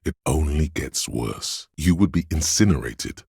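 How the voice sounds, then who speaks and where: Super deep raspy game voice of pain itself, grim n sardonic, talks right at you, really dry close sound. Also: male voice, animated, a little slow, darkish tone, no echo, heavily acted. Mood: ominous, pained. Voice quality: gravelly, husky. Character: inner voice of pain, grim, wry, menacingly intimate. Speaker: video game voice actor. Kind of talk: scripted second-person game narration.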